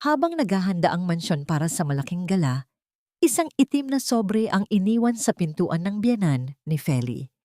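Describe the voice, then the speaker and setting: Filipino woman narrator, low-pitched and close. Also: sing-song, normal speed, dark tone, no echo. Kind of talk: dramatic scripted story narration.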